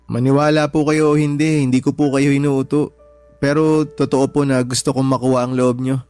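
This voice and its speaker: A man's voice: mid-range voice, flat delivery, moderate pace, a little mellow, smooth, soft background music, lightly acted. A man acting in a radio drama as a meek young son-in-law, respectful, earnest and pleading. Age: young adult.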